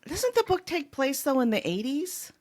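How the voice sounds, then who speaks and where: A woman's voice: high voice, sing-song, moderate pace, darkish tone. A woman co-hosting a podcast, chatting casually and informally about a book. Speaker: podcast co-host.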